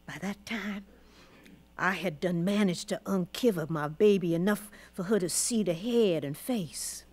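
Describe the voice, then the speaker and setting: A woman's voice: lowish voice, measured pace, a little mellow. An actress playing a weary, proud old Southern grandmother who was once enslaved, telling her story in heartfelt folksy dialect for a radio drama. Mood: pained, intense.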